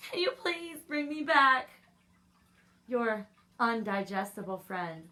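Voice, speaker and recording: A woman's voice: fairly high pitch, sing-song, slow pace, bright, forceful tone, exaggerated voice. A woman voicing an aggrieved, dramatic crayon with a complaint, reading from a children's storybook. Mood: indignant, disgusted.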